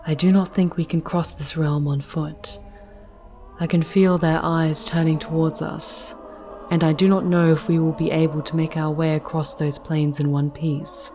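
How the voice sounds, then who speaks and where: A woman playing a tender female demon guide in a breathy, intimate ASMR roleplay, speaking formally and soothingly, often in whispers, with some background noise. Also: deep voice, level tone, warm tone, lightly acted. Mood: gentle, wary. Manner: slow, archaic formal diction, intimate and soothing.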